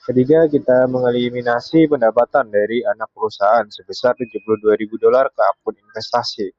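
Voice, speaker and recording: A young man walks through material step by step like a student presenter. His voice sits at an ordinary pitch. The recording is very dry and somewhat muffled and lo-fi. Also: fairly even intonation, a little fast, darkish tone, no room sound. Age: young adult.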